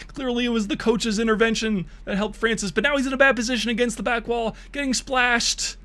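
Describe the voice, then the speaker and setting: A man's voice: extremely high pitch, fairly even intonation, moderate pace, fairly bright tone. A man streaming and giving hyped mock esports play-by-play of bot matches, laughing and joking crudely, his voice wearing thin. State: losing his voice.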